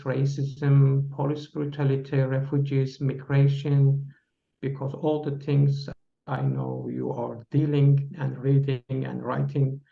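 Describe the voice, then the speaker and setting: A man hosting an interview who reads a formal introduction and asks questions in a halting way, with restarts. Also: medium pitch, gravelly, dark tone. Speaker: interview host. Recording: roomy, dull lo-fi sound.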